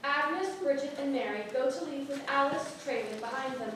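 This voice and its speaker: A woman's voice: sits high, normal speed. A woman reading stage directions as scripted narration at a staged play reading. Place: playhouse stage. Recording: echoey theatre from far off, noisy.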